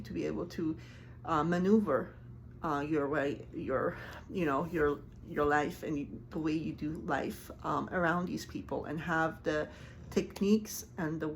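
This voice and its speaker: A woman who grew up speaking Farsi, a life coach talking casually to camera in earnest, halting speech with many ums and restarts. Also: fairly low pitch, gravelly, slight background noise.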